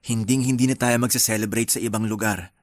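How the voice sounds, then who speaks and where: A man's voice: on the low side, fairly even intonation, quick pace, no echo, clean studio sound, lightly acted. A man playing a placating young husband. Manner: placating, apologetic. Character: apologetic young husband, soft-spoken. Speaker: husband in a radio love story. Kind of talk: radio drama acting.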